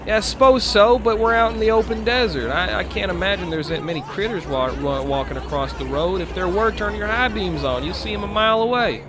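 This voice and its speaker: A man playing a folksy trucker in improvised CB roleplay, rambling and halting. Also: high-pitched, over music, close to an everyday voice. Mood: folksy, animated. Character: folksy male trucker telling tall road tales.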